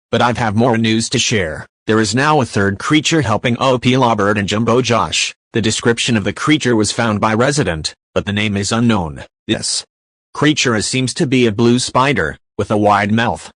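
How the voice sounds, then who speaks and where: Low-voiced, serious man playing a news anchor. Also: a bit bright, dry recording. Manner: formal, serious. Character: earnest male local TV news anchor reporting emergencies. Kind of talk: scripted breaking news report.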